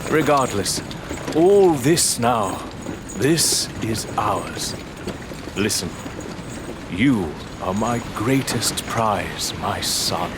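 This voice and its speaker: An actor playing a proud, unrepentant aristocratic father in a gothic audio drama, measured and lecturing. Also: on the low side, animated, noisy background. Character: proud, disgraced English lord and father, unrepentant gambler. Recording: clear outdoor vehicle sound behind voice.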